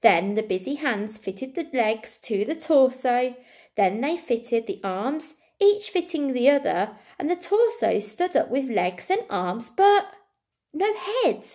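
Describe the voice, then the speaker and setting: A woman reading a storybook to children expressively, like a primary school teacher, with deliberate dramatic pauses and emphasis. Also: fairly high pitch, big pitch swings, a little mellow.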